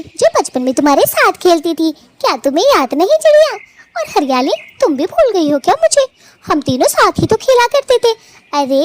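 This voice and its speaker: High, lively, vain young female bird voiced by a woman. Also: slight background noise. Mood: proud, friendly. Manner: coquettish, self-admiring, chatty. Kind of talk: animated story dialogue.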